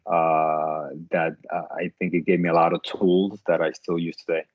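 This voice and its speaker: A man's voice: low-pitched, flat delivery, moderate pace, no room sound, muffled recording. A man in his thirties from the Dominican Republic, a startup CEO answering interview questions thoughtfully, with many fillers and restarts.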